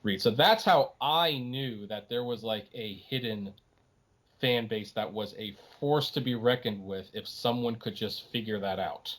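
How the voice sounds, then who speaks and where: A man's voice: mid-range voice, a little slow, fairly bright tone. A middle-aged man in a casual, opinionated podcast conversation, making his points emphatically with some exasperation. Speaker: podcast guest, anime writer.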